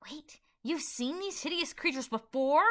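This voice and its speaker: A woman's voice: high voice, animated, measured pace, pitched above the performer's own voice. A woman voicing a proud, impatient alien warrior man with a blunt, scornful manner in a fan fiction audio play.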